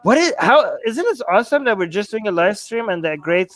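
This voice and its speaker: High-voiced excited livestream host, a man. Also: soft background music. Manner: excited, quick to praise guests. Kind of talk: casual livestream chat.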